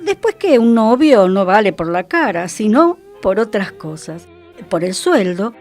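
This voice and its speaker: A woman with a Buenos Aires accent gives a dramatic, expressive literary reading on the radio. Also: mid-range voice, unhurried, faint music behind. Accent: Argentine, Buenos Aires. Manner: expressive, theatrical storytelling. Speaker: radio host and reader.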